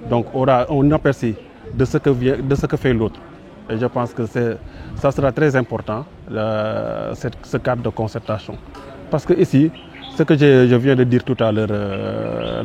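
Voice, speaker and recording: A man with a Senegalese accent answers in an interview. His voice sits at a middle pitch, and he builds his points in loose, repetitive phrases. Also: darkish tone. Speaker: local workshop participant. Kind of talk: spoken testimonial in interview.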